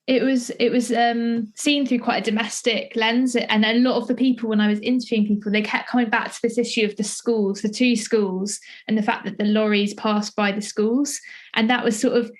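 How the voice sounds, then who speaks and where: A woman's voice: fairly high pitch, fairly even intonation, a little fast. A woman chatting as an interviewer in a tentative, heavily hedged way. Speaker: interviewer, theatre maker. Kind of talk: casual interview conversation.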